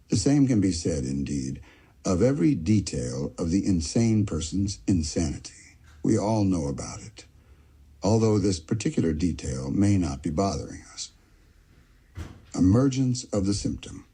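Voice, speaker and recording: A man giving a slow, measured audiobook-style reading of a psychoanalytic essay, pausing on the section headings. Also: low voice, mellow, husky. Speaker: reader of an essay.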